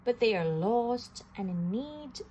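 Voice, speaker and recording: A woman reading a children's picture book aloud, slowly and with animated delivery. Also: fairly high pitch, background noise. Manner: slow, animated storytelling with occasional restarts. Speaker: storybook reader.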